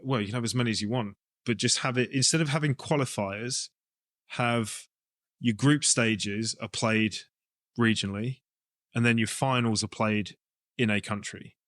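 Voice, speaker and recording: A man's voice: mid-range voice, normal speed, a bit bright, no echo, clean studio sound. A man with an English accent talking casually as an interview guest, explaining his ideas informally as he thinks them through. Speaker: rugby coach and club director, interview guest.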